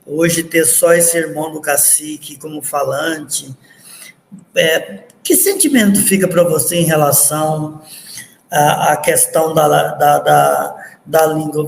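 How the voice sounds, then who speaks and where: A man hosting a radio program, asking slow, deliberate interview questions. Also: a little high, vocal fry, a little hiss or hum. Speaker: radio program host and professor. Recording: echoey radio studio, long reverb, faint music.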